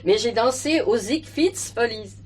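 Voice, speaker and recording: A woman's voice: on the high side, expressive, unhurried, a little hiss or hum. An actress playing a garrulous, motherly, earthy older ex-dancer who likes to boast, in a stage drama. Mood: boastful, nostalgic. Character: heavyset, chatty ex-dancer turned dresser, brash, motherly older woman. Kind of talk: French television stage comedy-drama acting.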